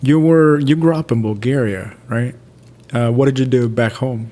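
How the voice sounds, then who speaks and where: A man's voice: medium pitch, steady tone, moderate pace, mellow. A man hosting a podcast, chatting casually and informally as he interviews his guest. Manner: informal, conversational, swears casually. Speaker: podcast host. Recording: reverberant, hall-like room.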